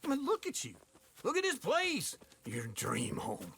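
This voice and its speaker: An actor playing a crotchety, mocking old-timer cowboy in a video game, folksy and crude, with an American accent. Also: male voice, extremely high pitch, huge pitch swings, unhurried, vocal fry, fairly bright tone, slight background noise, full character voice. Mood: scornful. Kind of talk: comic video game dialogue.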